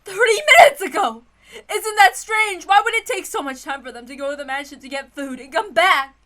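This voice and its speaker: A woman voicing a panicked, hysterical, feverish wife who wails and sobs for her husband in a frantic, shrill voice, in a story reading. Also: fairly bright tone. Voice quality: shrill, wailing. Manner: frantic, sobbing, drawn-out pleading.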